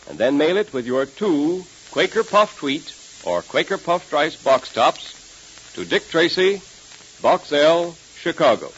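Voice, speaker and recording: A man announcing a 1930s radio show and pitching its sponsor with emphatic delivery. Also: sing-song, slow, fairly bright tone, husky. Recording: muffled, hissy 1930s radio recording.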